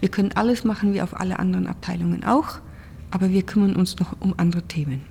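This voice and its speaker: A woman's voice: lowish voice, fairly even intonation, quick pace, gravelly, a little mellow, slight background noise. A woman working in ward care, explaining plainly in an interview and posing rhetorical questions. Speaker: care professional on a hospital ward.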